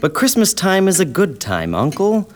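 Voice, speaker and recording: An actor playing a cheerful, kind-hearted young gentleman in a radio drama, earnest, good-humoured and coaxing. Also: high voice, wildly swooping pitch, normal speed.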